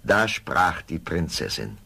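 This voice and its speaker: A man's voice: low voice, very animated, slow pace, creaky, sharp tone, breathy edge. A man narrating a scripted fairy tale as a storyteller.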